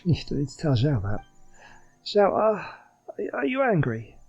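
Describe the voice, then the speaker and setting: A man voicing a small, excitable, food-loving female fairy companion while reading game dialogue aloud, exclaiming in a childlike way. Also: a little high, normal speed, gravelly, breathy edge, lo-fi sound, faint music behind, exaggerated voice. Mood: eager.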